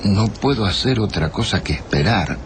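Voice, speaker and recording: An actor playing a pensive writer who narrates, gravely and reflectively, a stranger's tale in a radio drama. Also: male voice, fairly low pitch, animated, normal speed, noisy background, lightly acted. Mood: pensive suspense. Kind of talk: literary scripted radio narration.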